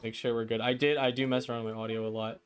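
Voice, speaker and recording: A man's voice: mid-range voice, level tone, very fast, a little hiss or hum. A man hosting a live game stream, talking in a casual, rambling, slangy way. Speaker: livestream host, gamer. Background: game music.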